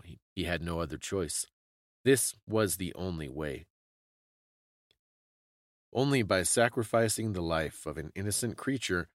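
A man giving a dramatic scripted story reading as a narrator, solemn and suspenseful, with deliberate phrasing. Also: low voice, dry recording, studio quality. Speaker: storyteller and podcast host.

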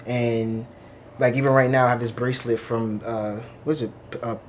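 A man in his thirties from Long Island tells his life story in an oral history interview, candid and reflective. His voice sits at an everyday middle pitch, with a faint hiss of background noise in the office. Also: fairly even intonation, moderate pace. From Long Island, New York. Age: 30s. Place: office indoors.

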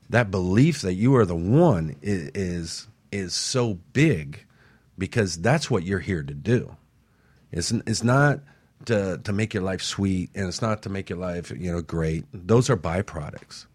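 A man with a very low, husky voice hosts a motivational radio talk show. He speaks with lively rises and falls, pressing his points home with emphatic repetition. The sound is dry and close. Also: dry recording. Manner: preachy, emphatic, repeats phrases.

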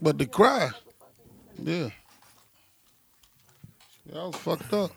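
A man's voice: on the high side, lively intonation, slow pace, vocal fry, husky. A man, co-hosting a podcast and telling crude, boisterous stories in casual chat. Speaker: podcast co-host.

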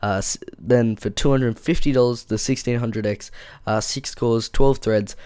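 A man with a croaky, flu-worn voice talks through a casual solo podcast, drifting between ums and exasperated rants. His tone stays smooth and resonant on a close boom-arm microphone. The foam-lined room sounds completely dry. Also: fairly even intonation, unhurried, a little mellow, no room sound. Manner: rambling, many ums, frustrated rants. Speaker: podcast host. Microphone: boom-arm studio microphone.

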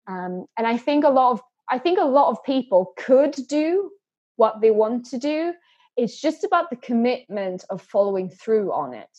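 A woman's voice: fairly high pitch, big pitch swings, normal speed, darkish tone, dull audio. A woman guest in a podcast interview, talking earnestly and often repeating words as she starts a thought. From Sweden and England. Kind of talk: conversational interview.

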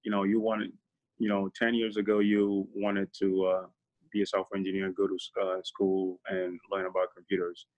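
Low-voiced man talking things over. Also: normal speed, lo-fi sound. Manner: relaxed, reflective, many fillers. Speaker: guest. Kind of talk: casual interview.

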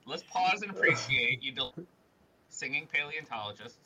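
A man's voice: medium pitch, animated, moderate pace, pushed and bright, breathy edge, rough recording. A man co-hosting a podcast, joking and repeating words as he starts sentences. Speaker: podcast co-host. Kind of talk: casual chat.

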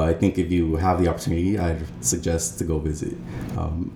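A man's voice: deep voice, mellow. A young man, a native Spanish speaker, giving earnest, explanatory answers as an interview guest. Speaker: interview guest, Peace Corps volunteer. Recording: echoey room with clear background noise.

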